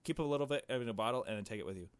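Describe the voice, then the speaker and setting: A man's voice: medium pitch, rapid-fire, clean tone, no echo. A man doing a casual game playthrough, reading along and tossing in irreverent, joking asides. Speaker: gaming video host.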